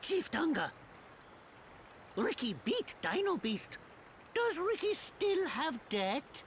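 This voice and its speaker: A tiny, boastful furry game creature. The voice is squeaky and very high, bouncing widely in pitch. It speaks slowly in childlike broken sentences. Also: big pitch swings, slight background noise, exaggerated voice. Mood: hopeful. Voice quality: squeaky, cartoonish. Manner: talks of self in third person, broken grammar. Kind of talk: video game cutscene acting.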